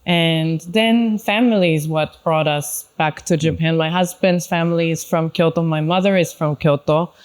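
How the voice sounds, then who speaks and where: A woman from Switzerland speaks as a guest in a panel conversation. Her voice sits a little low and is clean and resonant, and she explains things in a measured, conversational manner. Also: steady tone. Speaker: panel guest, craft brand founder and designer. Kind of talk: conversational self-introduction in a panel talk.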